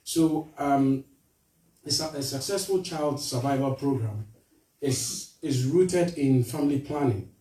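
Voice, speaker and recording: A man from Ghana with a Ghanaian accent, giving an earnest charity appeal to a church, halting with fillers and restarts. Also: medium pitch, dark tone. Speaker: charity representative speaking to a church. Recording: roomy echo, voice from far off.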